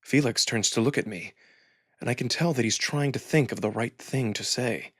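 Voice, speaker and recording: A man with a husky voice reading first-person fiction aloud as a dramatic narrator. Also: medium pitch, normal speed, a bit bright, dry recording, studio quality. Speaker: narrator and performer of a visual novel reading. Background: background music.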